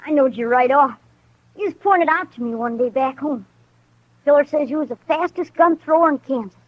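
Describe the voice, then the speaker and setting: Woman as a high-voiced cocky frontier boy. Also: normal speed, no echo, lightly acted. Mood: awed, eager. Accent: rural American. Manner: folksy, boastful, earnest. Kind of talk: 1950s old time radio western acting. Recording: dull muffled vintage radio recording.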